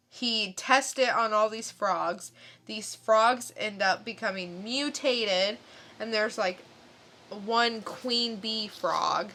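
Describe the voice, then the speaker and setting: A woman co-hosting a podcast in casual chat, thinking out loud in a halting way. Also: medium pitch, slight background noise. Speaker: podcast co-host. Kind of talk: casual comic book chat.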